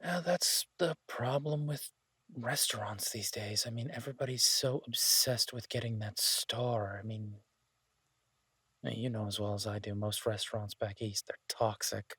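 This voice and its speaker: A man playing a laid-back, idealistic chef in an audio roleplay, talking casually with hesitant pauses and mild swearing. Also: lowish voice, fairly even intonation, bright, forceful tone, husky, no room sound. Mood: earnest, opinionated. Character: laid-back, idealistic male chef, devoted to honest food. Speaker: chef and restaurant owner character in an audio roleplay. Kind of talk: intimate conversational audio roleplay.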